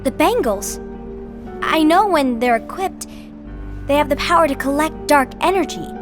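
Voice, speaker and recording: A woman's voice: high-pitched, lively intonation, moderate pace, music in the background. An actress playing an earnest, spirited young female apprentice in a fantasy video game cutscene, speaking in an earnest, protective way.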